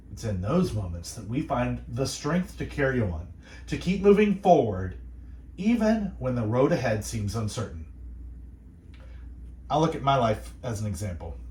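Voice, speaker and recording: A man hosting radio, delivering reflective, encouraging monologues in a measured, earnest way with deliberate pauses. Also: on the low side, darkish tone, reverberant, recorded from a distance, a little hiss or hum. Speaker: radio host and station manager.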